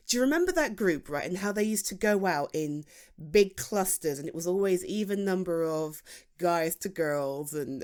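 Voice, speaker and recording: A British woman playing a teasing, self-deprecating best friend in her late thirties in a chatty, halting ASMR roleplay monologue. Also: medium pitch, close to an everyday voice. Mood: amused reminiscing. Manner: halting, chatty, with sighs and chuckles. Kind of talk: ASMR roleplay acting, intimate conversational monologue.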